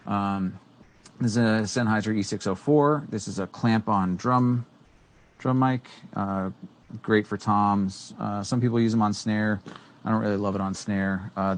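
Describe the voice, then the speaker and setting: A man in his thirties, co-hosting a casual tech talk from a small studio, explaining gear with many fillers and restarts. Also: deep voice. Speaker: co-host. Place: small studio room with green screen. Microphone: studio microphone on boom arm.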